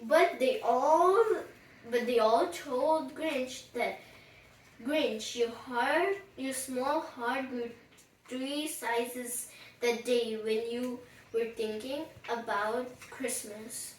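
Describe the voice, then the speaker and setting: A storyteller improvising a bedtime story in a halting, childlike way, repeating words along the way. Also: medium pitch. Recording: echoey room, distant mic, faint noise, clicks.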